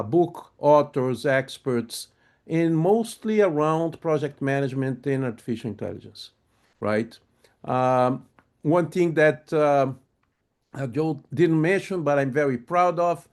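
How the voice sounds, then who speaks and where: A man's voice: on the high side, a little mellow. A man with a Brazilian Portuguese accent, who first spoke German and French, giving a friendly webinar talk, often hesitant and full of fillers, on a video call. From grew up in a German- and French-speaking home, moved to Brazil. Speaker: webinar presenter on project management. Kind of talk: live webinar presentation.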